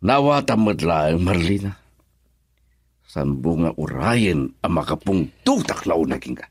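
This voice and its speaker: A man's voice: low voice, big pitch swings, measured pace, breathy edge, no echo, full character voice. A man with an Ilocano accent playing an assertive man in a family dispute in a radio drama. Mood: stern.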